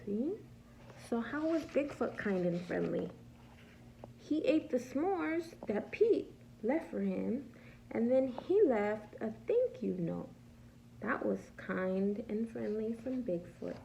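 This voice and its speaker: A woman reads a picture book to preschoolers with very lively, swooping intonation and an unhurried pace. Her voice has a noticeable creak in it. She stops to ask simple questions and explains patiently, with faint noise behind her. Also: fairly high pitch, rough recording. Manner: animated, asks simple questions and answers them herself; patient, instructive, explains simply for small children. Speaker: preschool teacher reading storytime. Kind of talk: expressive picture-book read-aloud with questions to young children.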